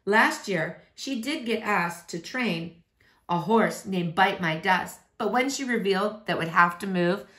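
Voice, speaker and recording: Female read-aloud reader, mid-range pitch, expressive delivery of story and poetry. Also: measured pace.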